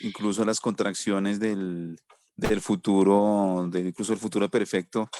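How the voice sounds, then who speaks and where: A man's voice: fairly low pitch, little pitch movement, unhurried, warm tone. Hesitant, polite man asking questions. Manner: hesitant, polite, many restarts. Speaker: student. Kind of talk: asking questions in an online class.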